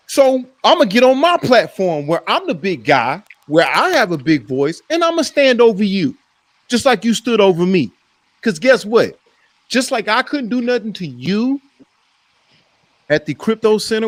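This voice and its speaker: American guy hosting sports debate, really high voice, swoops all over, emphatic, repeats himself. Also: dry recording. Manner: emphatic, repeats phrases for effect. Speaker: host of a sports debate show.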